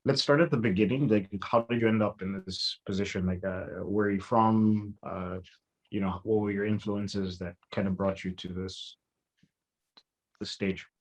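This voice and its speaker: A man hosting an interview, asking questions in a casual, halting way, filling gaps with "like". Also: lowish voice, fairly even intonation, low-quality recording. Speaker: interview host.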